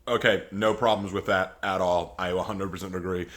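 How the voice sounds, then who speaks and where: Guy doing casual reaction commentary, kinda low voice, rambles, lots of opinions. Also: fairly even intonation, fairly quick, fairly bright tone, a little hiss or hum. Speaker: reaction video host.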